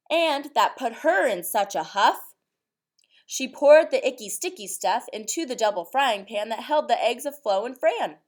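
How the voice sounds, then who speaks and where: A woman with a fairly high voice reads a children's storybook aloud. Her delivery is expressive and follows the rhyme in a measured, sing-song rhythm, the way a teacher reads to a class. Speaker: teacher reading a picture book aloud.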